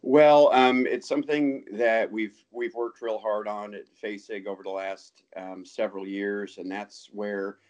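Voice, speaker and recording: A man speaking as a guest on a podcast interview, measured and hesitant, with many ums and restarts. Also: lowish voice, fairly even intonation, darkish tone. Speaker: guest, sales announcer.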